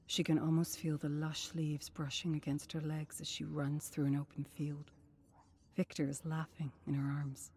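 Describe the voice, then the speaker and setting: A woman reading a scripted dramatic story in a solemn, measured narration. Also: deep voice, warm tone, breathy edge. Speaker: narrator of game lore.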